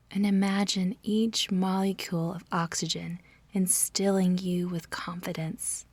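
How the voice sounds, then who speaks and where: Female meditation narrator: slightly low voice, unhurried pacing, gentle breathiness, calming scripted instruction with measured pauses. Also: no room sound.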